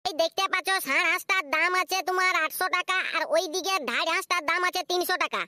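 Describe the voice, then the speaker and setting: A woman's voice: extremely high pitch, fairly even intonation, fast talker, pushed and bright, no room sound, exaggerated voice. A woman voicing a stubborn village duck seller in a comedy cartoon, haggling insistently with a Purulia Bengali accent. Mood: matter-of-fact.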